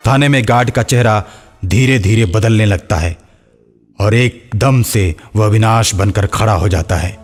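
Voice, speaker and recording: A man's voice: on the low side, unhurried. A man narrating a scripted horror story as the storyteller.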